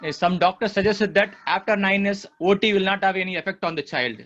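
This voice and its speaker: A man's voice: high voice, brisk, a bit bright. A Tamil-speaking man with an Indian English accent asking hesitant, polite questions as a parent. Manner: hesitant, many fillers, polite. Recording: dull muffled call audio.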